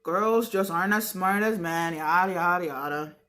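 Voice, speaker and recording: A woman's voice: on the low side, moderate pace, a bit bright. An American woman reading a book aloud like a teacher, with casual explanatory asides spoken directly to her listeners in a conversational, chatty way. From New York state, United States.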